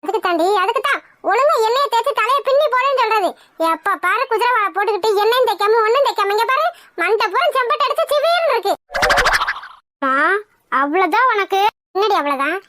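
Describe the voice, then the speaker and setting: A woman's voice: very high-pitched, expressive, fast, pushed and bright, a little hiss or hum, heavily acted. A woman doing a nagging old grandmother. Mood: scolding. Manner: nagging, scolding. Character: bossy, jealous old grandmother, always scolding. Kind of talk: comedy skit.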